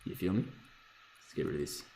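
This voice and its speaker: A man with a hoarse voice casually thinking aloud while reviewing trading charts, rambling, swearing freely and correcting himself. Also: moderate pace, mellow, slight background noise, rough recording. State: hoarse, lost voice from screaming. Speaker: forex trader reviewing charts.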